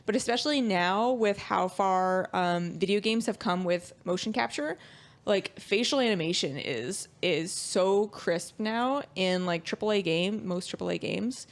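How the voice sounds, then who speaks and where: A woman's voice: mid-range voice, a little slow. A woman speaking bluntly and critically in a casual podcast review chat as co-host.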